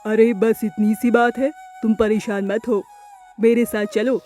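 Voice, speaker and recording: A woman's voice: a little high, little pitch movement, unhurried, warm tone, faint music behind, natural voice. A woman voicing a kindly, helpful male pigeon in a children's cartoon, reassuring and generous in manner.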